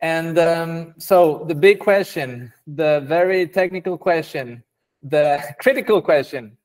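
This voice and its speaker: A young man hosting a podcast over a video call, asking questions in a relaxed way with many ums. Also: a little high, slow pace. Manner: relaxed, agreeable, filler-heavy questions. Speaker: podcast host.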